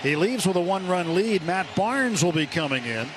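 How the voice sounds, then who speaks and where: An American man doing live radio play-by-play of a baseball game, with unhurried pitch calls and pauses between phrases. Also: expressive. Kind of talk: live sports commentary. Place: ballpark broadcast booth. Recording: live stadium broadcast, ambient noise.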